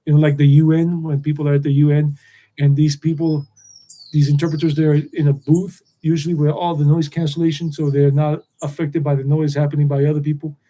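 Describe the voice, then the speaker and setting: A man's voice: fairly high pitch, level tone, fairly quick, dark tone, poor audio. A middle-aged man hosting a live stream, rambling and repeating himself as he talks. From United States. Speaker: tour guide and channel host.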